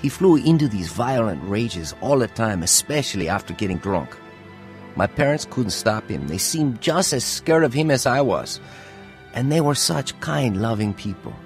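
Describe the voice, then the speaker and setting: A man's voice: medium pitch, very animated, moderate pace, soft background music. An actor playing an earnest man recounting his troubled youth in first-person radio drama narration. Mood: confessional.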